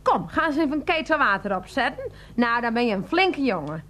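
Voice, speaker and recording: A high, very animated voice of a doting aunt in a radio drama. She fusses and exclaims, swinging widely in pitch as she chides her nephew. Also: brisk. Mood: flustered, coy. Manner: exclamatory, fussing, chiding. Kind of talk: radio play acting.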